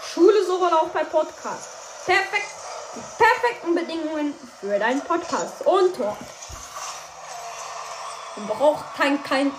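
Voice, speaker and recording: A woman's voice: high-pitched, measured pace, long pauses. A young woman giving casual, playful commentary while she plays a game, often tripping over her words. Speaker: gameplay commentator. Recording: echoey room with noise.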